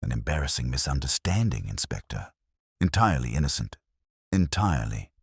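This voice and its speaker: A man's voice: extremely low pitch, sing-song, fairly quick, slightly rough, dry recording, studio quality, voice pushed down, exaggerated voice. A man voicing an anxious, embarrassed bishop in a story, fussy, evasive, hesitant and genteelly formal.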